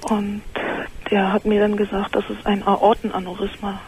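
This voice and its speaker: A woman in her early thirties speaks on a telephone call with faint noise. She gives a serious personal account in a subdued, halting manner. Her pitch is average and stays very level. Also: completely flat delivery, a little mellow. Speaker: caller to a radio show.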